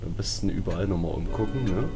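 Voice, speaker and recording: A man's voice: low-pitched, fast talker. A man giving casual, slangy horror game commentary, muttering to himself as he plays. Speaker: let's play streamer. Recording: eerie game music behind voice. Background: horror game music and sounds.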